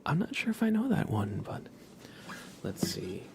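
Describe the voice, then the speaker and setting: A livestream host speaking softly, often in a whisper, chatting casually and gently with viewers in an ASMR style. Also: mid-range voice, wildly swooping pitch, a little slow. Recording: music behind the voice. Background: plucked guitar playing.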